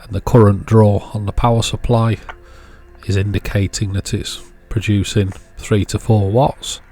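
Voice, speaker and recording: A man's voice: on the low side, little pitch movement, a little slow, mellow, faint music behind. A man giving casual, hands-on repair narration as a video host, in a matter-of-fact, understated way.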